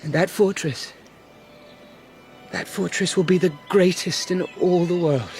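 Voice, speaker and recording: A man's voice: high voice, unhurried, faint music behind. An actor playing a resolute, ambitious warrior king in a radio drama, commanding and declarative. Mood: assured. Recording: faint outdoor-like ambience noise.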